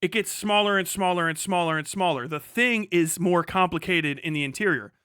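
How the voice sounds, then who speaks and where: High-voiced, bright man on a rant. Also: brisk, clear, resonant tone, no echo, clean studio sound. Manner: emphatic, repetitive, profane. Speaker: livestreamer. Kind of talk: casual off-the-cuff rant.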